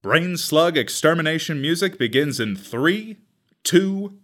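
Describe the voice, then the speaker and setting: An actor playing a straight-faced public radio announcer, reading scripted announcements in an orderly, deadpan way. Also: male voice, on the high side, measured pace. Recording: loud music under the voice. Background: loud music.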